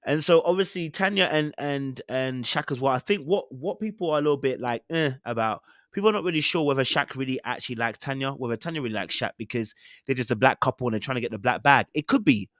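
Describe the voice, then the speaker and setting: A young man with a London accent chatting casually as a podcast host, giving opinionated, slangy reality TV commentary with animated, theatrical retellings. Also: medium pitch, fast, no room sound, clean studio sound.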